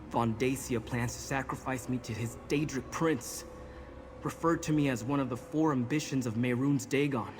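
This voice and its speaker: A defiant male prisoner in a fantasy game, voiced by a man speaks in a medium-pitched voice. He is dramatic and scornful with a wry edge. A faint ambient noise sits behind him. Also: lightly acted.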